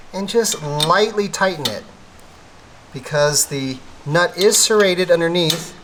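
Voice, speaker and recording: A man's voice: a little high, fairly bright tone, a little hiss or hum. A man presenting a hands-on installation tutorial, explaining things methodically step by step. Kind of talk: step-by-step how-to instruction.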